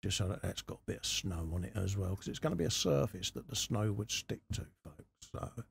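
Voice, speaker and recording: Deep, gravelly man, casual. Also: big pitch swings, a little hiss or hum. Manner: unhurried, informal, chatty. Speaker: host of a scale model workshop channel. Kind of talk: casual hobby tutorial commentary while working. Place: indoor hobby workbench.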